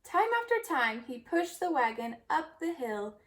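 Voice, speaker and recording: A woman reading a children's story aloud in an expressive storytelling manner. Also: sits high, unhurried. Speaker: storybook reader.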